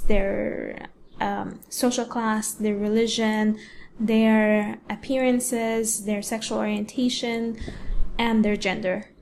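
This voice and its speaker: Slow, measured woman from Egypt. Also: a little high, slight background noise. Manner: slow, measured delivery with deliberate pauses. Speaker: award-winning pianist and educator giving a talk. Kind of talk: prepared speech.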